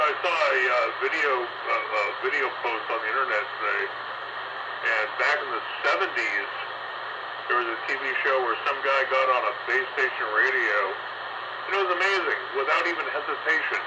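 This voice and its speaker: A man's voice: medium pitch, heavy background noise. A man in his sixties talking casually and telling stories as a hobbyist over a two-way radio. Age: 60s. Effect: two-way radio transmission.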